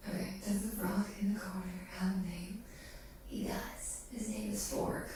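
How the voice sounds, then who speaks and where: A woman streaming ASMR, chatting casually in a breathy whisper close to the microphone in an unhurried, drifting way. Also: mid-range voice, level tone, noisy background. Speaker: ASMR livestreamer chatting with viewers.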